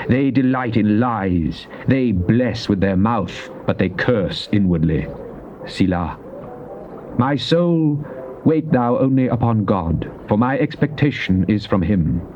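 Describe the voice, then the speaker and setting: An actor playing a devout, fervent psalmist, declaiming in a solemn, measured way in a dramatized scripture reading. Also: male voice, mid-range voice, natural voice. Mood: solemn, stern, then devout, resolute. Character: devout psalmist, fervent man praying to God. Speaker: scripture reader. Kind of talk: dramatized King James Bible reading. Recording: muffled old cassette with faint hiss.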